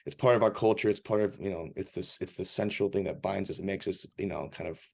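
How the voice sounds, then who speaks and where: A man's voice: deep voice, steady tone, quick pace, gravelly, a little mellow. A man interviewing a friend in a casual chat, asking curious, friendly questions.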